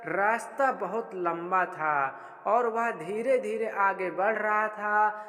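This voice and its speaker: A man with a notably high voice tells a children's tale at a slow, measured pace. It is a scripted narration, and long reverb trails each phrase. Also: a bit bright. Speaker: storyteller.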